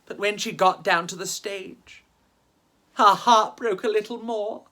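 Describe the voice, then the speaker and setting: A woman's voice: medium pitch, steady tone, measured pace, bright, forceful tone. A woman telling rhyming children's stories in a dramatic, playful way, speaking straight to her listeners. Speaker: children's storyteller and host. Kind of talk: theatrical rhyming storytelling for children.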